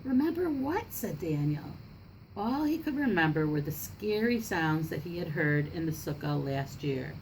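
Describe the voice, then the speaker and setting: Female storybook reader, slightly low voice, expressive read-aloud for children, faint ambient noise. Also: unhurried, vocal fry, rough recording.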